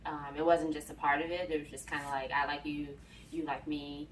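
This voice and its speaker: Low, even-voiced American woman, echoey room. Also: brisk. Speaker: interview guest. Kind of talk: casual personal storytelling. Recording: echoey room, distant mic, faint noise.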